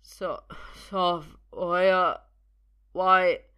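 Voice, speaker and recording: A woman voicing a drunk, drugged young man in an audiobook, confused and halting, with slurred words. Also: deep voice, flat delivery, creaky, bright, forceful tone, heavily acted. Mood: slurring, fearful. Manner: halting, stammering.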